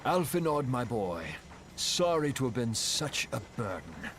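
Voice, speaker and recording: An actor playing a proud, reflective exiled engineer and airship captain in a video game, speaking in an earnest, measured, solemn way. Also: male voice, mid-range voice, big pitch swings, a bit bright, slight background noise. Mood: resolute. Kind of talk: dramatic video game voice acting.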